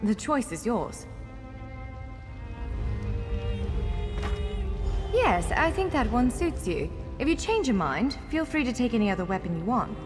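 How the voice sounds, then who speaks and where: A woman's voice: on the high side, sing-song, moderate pace. A woman playing a courteous, wry elf scholar guiding someone in a video game, articulate and formal yet playful. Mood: instructive. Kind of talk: video game character dialogue. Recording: long hall reverb over music.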